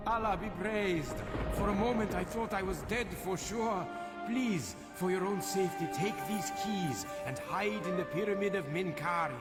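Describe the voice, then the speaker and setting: Male actor as frightened guide, high pitch, grateful, pleading, earnest, game cutscene, heavy music. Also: moderate pace, natural voice. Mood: relieved, fearful. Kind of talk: video game cutscene acting. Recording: loud music over the voice.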